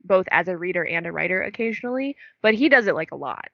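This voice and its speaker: A woman's voice: on the low side, lively intonation, fast, vocal fry, dry recording. A young woman co-hosting a book podcast, chatting casually with lots of fillers and laughing at herself. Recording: dull dry lo-fi recording.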